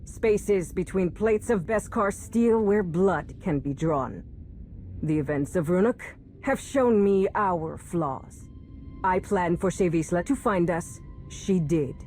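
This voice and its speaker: Slow, ruthless warrior leader. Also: female voice, on the low side, a little mellow. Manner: solemn, deliberate, commanding oratory. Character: calculating, ruthless female warrior leader addressing followers. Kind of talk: video game villain monologue.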